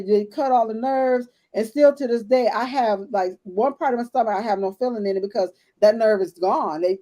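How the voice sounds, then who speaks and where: A woman in her late fifties tells her own story with blunt candor and talks straight to the women listening. Her voice sits a little high but sounds mellow and relaxed. It is easygoing, personal chat. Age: 50s. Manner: candid, storytelling, addresses listeners as ladies. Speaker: podcast co-host. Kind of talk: casual health chat.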